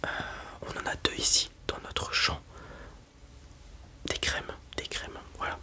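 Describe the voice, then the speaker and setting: A man's voice: takes it slowly, pushed and bright. A man in an ASMR roleplay, whispering breathily as he casually and hesitantly explains things in the role of a breeder.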